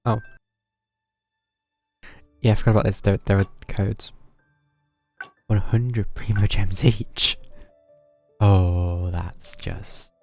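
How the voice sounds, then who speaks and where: A man's voice: fairly low pitch, steady tone, slow, vocal fry, long pauses, darkish tone, faint music behind. A man giving casual live game stream commentary, muttering to himself in a dryly wry way.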